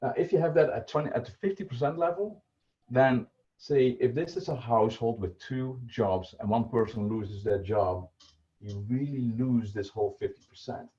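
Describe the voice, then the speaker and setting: A man's voice: medium pitch, normal speed, mellow. A middle-aged man with a Dutch accent, explaining budgeting in a casual livestream with many fillers and restarts. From Netherlands. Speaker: personal finance presenter. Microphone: video call. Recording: muffled lo-fi video call audio.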